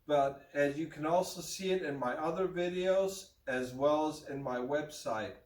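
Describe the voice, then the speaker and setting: A middle-aged man with a slight German accent talks plainly and frankly, pitched a little high for a man. He is heard from a distance across a roomy, echoey indoor space. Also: a little slow, a little mellow. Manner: frank, plain-spoken, with fillers. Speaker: rug dealer. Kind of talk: casual talk to camera. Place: room with hanging curtain, indoors. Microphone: far camera. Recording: roomy, distant camera sound.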